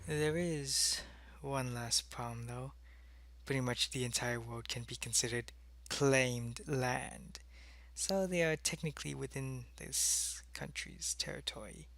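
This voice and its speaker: A man playing an alien agent in a sci-fi audio roleplay, explaining things earnestly in a measured, matter-of-fact way. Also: medium pitch, vocal fry, a bit bright, no room sound. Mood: earnest, cautious. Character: earnest alien agent briefing his superior on humans.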